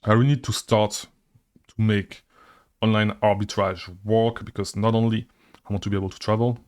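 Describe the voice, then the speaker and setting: A young man with a fairly low voice talks through a hard stretch of his life. He rambles and restarts, correcting himself mid-sentence and tossing in "okay?" and "right?" to the viewer. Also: flat delivery, normal speed, darkish tone. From Belgium. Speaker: online seller and channel host. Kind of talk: casual personal story to camera.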